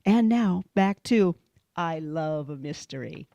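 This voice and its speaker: An American woman works as a radio announcer, reading scripted copy. Her tone is mellow and relaxed, with a very dry, close sound. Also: sits low, takes it slowly, gravelly, dry recording, dull audio.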